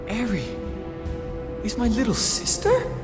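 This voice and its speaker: A man's voice: wildly swooping pitch, a little slow, full character voice. An actor playing a shaken young man in a video game, with strained, disbelieving delivery. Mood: shocked. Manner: high, strained, disbelieving cries. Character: distraught young male, shaken by revelations. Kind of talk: scripted video game acting. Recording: long hall reverb, music underneath.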